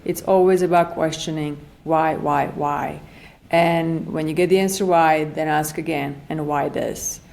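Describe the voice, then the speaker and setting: A woman's voice: sits low, steady tone, measured pace, gravelly, darkish tone. A woman of forty-nine with a Slovak accent, explaining earnestly as an interview guest and repeating phrases for emphasis. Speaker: functional medicine practitioner, podcast guest.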